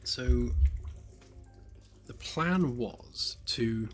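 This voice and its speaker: A man developing a game on a live stream, chatting casually as he works, slightly hoarse and rambling with lots of fillers. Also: medium pitch, animated, drawn-out words. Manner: rambling, hesitant, many fillers, self-deprecating jokes. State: slightly hoarse, losing his voice. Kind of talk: relaxed live-stream chat while working. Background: faint lo-fi background music.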